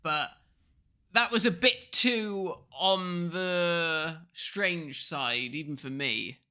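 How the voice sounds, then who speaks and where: A man's voice: on the high side, extremely slow, bright, forceful tone, smooth. A man co-hosting a podcast commentary, chatting casually in colloquial, sweary language. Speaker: podcast co-host.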